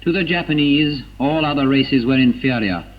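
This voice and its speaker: Dull vintage male newsreel-style narrator. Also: medium pitch. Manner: grave, emphatic, dramatic pauses. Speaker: documentary narrator. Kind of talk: 1940s propaganda film narration, scripted. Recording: dull 1940s film soundtrack. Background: music.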